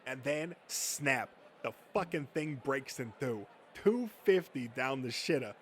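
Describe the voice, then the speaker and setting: A man's voice: medium pitch, lively intonation, unhurried, a little hiss or hum, lightly acted. Man playing a crude, rowdy storytelling nerd. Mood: boastful, amused. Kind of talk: comic dub character acting.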